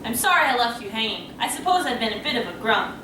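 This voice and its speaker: A woman's voice: fairly high pitch, very animated, quick pace. A woman voicing a grumpy, scornful male badger in a storybook readers' theater. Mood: sorry. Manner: scornful, grumbling, exclaiming. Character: grumpy male badger, sleepy and sensible loner. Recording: echoey room, distant mic, noisy.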